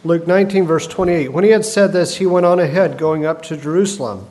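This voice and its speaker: A man preaching a sermon, hoarse from a cold, talking in a folksy, halting way with many fillers. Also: a little high, a little mellow.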